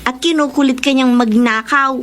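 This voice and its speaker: A woman playing a caring, protective young friend in a Filipino radio drama, giving gentle advice. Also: high voice, steady tone, normal speed, a little hiss or hum. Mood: concerned.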